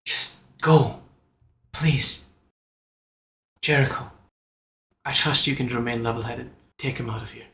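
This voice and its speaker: A woman playing a stoic, self-sacrificing adventurer, speaking tersely and gravely in a roomy recording. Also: very deep voice, fairly even intonation, a little slow, pauses often, a bit bright, full character voice. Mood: grave, pleading.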